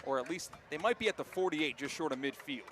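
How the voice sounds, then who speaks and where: A man with an American accent and a fairly high voice calls football play-by-play in a descriptive, animated way. It is a live outdoor stadium broadcast with loud noise all around him. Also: fast talker. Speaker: play-by-play announcer.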